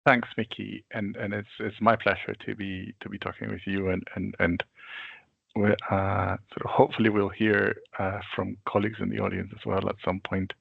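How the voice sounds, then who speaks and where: Man in his forties, a deep voice with heavy fry, husky, calm and reflective as a webinar guest, dull lo-fi call audio. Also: no echo. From Venezuela. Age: 40s. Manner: measured, reflective storytelling. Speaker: guest expert. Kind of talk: webinar interview answer. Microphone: video call.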